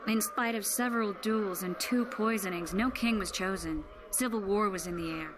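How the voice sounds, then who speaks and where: An actress playing a politically shrewd woman of the court, measured and wry, in a video game. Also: medium pitch, noisy background, close to an everyday voice. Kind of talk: video game cutscene acting.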